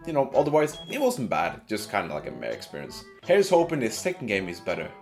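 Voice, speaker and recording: A man's voice: on the low side, huge pitch swings, fairly quick, a little mellow, soft background music. A man giving a casual, narrated video game review in informal, slangy language.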